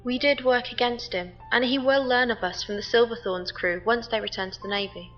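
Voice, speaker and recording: A woman acts a cool, logical female shipmate in a space drama. Her voice is fairly high and measured, stiffly formal, with slightly dull sound and faint music. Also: steady tone, close to an everyday voice. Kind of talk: science fiction audio drama acting.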